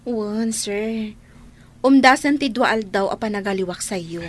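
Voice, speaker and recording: A woman's voice: medium pitch, a little slow, lightly acted. A woman playing a polite, worried, dutiful young housemaid in a radio drama. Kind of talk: Ilocano radio drama acting.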